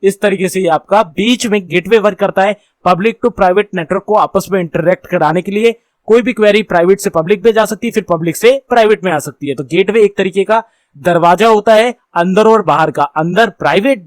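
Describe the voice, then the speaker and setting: A man's voice: high voice, dry recording. A man with an Indian accent giving an informal technical lesson, explaining things and mixing in English terms. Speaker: tutorial instructor.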